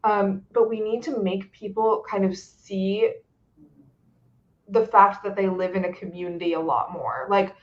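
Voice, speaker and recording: A woman's voice: mid-range voice, fairly even intonation, measured pace. A young woman speaks earnestly, often leaning on "like" as she works through her point. Her voice sits in a middle register, and the sound is dull and lo-fi over a video call. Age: young adult. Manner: earnest, frequent "like" fillers. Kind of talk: webcast discussion. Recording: dull lo-fi audio.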